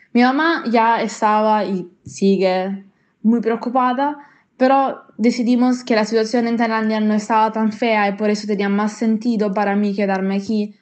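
A woman's voice: a little high, darkish tone. A young Italian woman with an Italian accent tells a personal account, speaking as a non-native with slightly foreign phrasing. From Italy. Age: young adult. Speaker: interviewee telling personal experience. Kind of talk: personal account, narrated monologue. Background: music.

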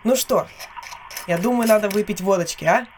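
A woman's voice: mid-range voice, fairly quick, noisy background, close to an everyday voice. An actress playing a convivial Russian woman agent in an audio drama.

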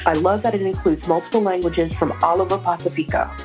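A woman's voice: fairly low pitch, steady tone, quick pace, a little mellow, faint music behind. A woman leaves a cheerful, enthusiastic voicemail. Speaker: listener leaving a voicemail.

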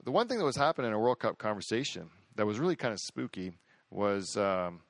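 A man hosting a podcast in casual chat, boastful and excitable, rambling with lots of restarts. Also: lowish voice, fast talker, no echo. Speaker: podcast host.

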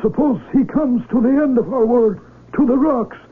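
An actor in a radio drama playing a concerned, humane villager, earnest and worried in manner. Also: male voice, extremely high pitch, normal speed, slightly rough. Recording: tinny vintage radio broadcast.

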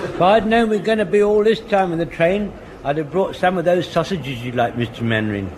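Man playing a high-pitched chatty old corporal. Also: brisk, crowd noise, natural voice. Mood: chatty, eager. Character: chatty, deferential old male lance corporal. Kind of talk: broadcast radio sitcom acting. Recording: noisy vintage radio recording.

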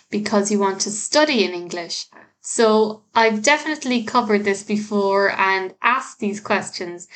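Encouraging Irish woman podcast host. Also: medium pitch. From Ireland. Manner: earnest and encouraging, frequent restarts and fillers. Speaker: podcast host, language teacher. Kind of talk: solo podcast monologue giving tips.

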